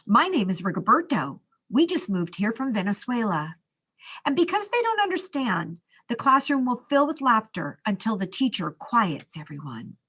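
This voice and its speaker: A woman reading a children's picture book aloud as a school storyteller, expressively, with dramatic pauses and drawn-out words. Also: on the high side, very animated, fairly bright tone, husky.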